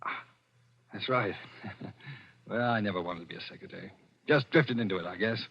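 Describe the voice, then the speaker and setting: A man's voice: on the low side, brisk, creaky, breathy edge. An actor playing an anxious, bewildered man on the run, hesitant, in 1940s radio drama style. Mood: bewildered, guarded. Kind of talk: 1940s radio acting with narration. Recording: dull, lo-fi vintage radio recording.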